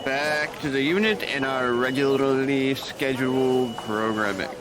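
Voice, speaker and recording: A man's voice: medium pitch, measured pace, crowd noise, natural voice. A man playing a jaded, sarcastic senior nurse. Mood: weary sarcastic. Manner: sarcastic, grumbling. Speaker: nurse mentor. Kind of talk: audio drama acting.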